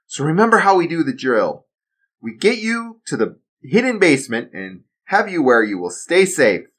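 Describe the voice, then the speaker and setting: A man voicing a retired assassin father, steady and blunt, protective of his son. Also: on the high side, normal speed, slightly rough, pitched above the performer's own voice, exaggerated voice. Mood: reassuring. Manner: reassuring to his son, defiant to enemies. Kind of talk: amateur storytelling.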